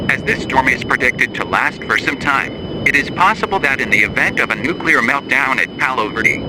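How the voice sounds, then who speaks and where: A man reading a scripted emergency alert in a formal, measured tone. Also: medium pitch, heavy background noise.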